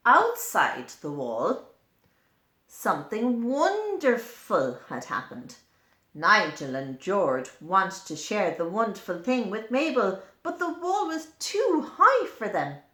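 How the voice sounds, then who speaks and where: Female children's storyteller, unhurried and animated, explanatory asides, roomy sound. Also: mid-range voice. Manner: slow, explanatory, pointing things out to young listeners. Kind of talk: expressive children's picture-book read-aloud with asides. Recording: echoey room.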